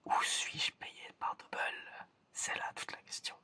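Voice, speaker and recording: A person whispering an ASMR roleplay as an examiner, in a breathy, chatty, playful and hesitant way. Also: slow, bright, forceful tone. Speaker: ASMR creator playing an examiner giving sense tests.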